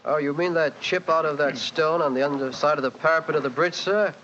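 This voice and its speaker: A man's voice: a little high, brisk, a bit bright, lightly acted. A man acting a plain-spoken, respectful village police sergeant with a working-class British accent in a 1940s radio drama. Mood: matter-of-fact. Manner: plain, deferential, folksy. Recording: dull, hissy 1940s radio recording.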